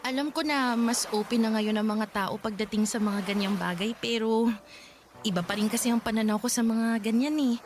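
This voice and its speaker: A woman's voice: fairly high pitch, fairly quick, background noise, natural voice. A woman with a Filipino accent playing a principled, reserved young woman being courted in a Tagalog radio drama, explaining herself earnestly and hesitantly.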